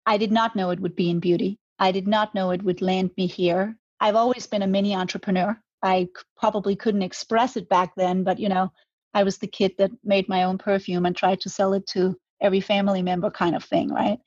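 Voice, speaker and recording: A woman's voice: mid-range voice, flat delivery, a little fast, a little mellow, slightly rough, no room sound, dull audio. A woman, talking reflectively as an interview guest, often restarting her words. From Denmark. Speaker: guest, brand co-founder. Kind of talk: conversational interview.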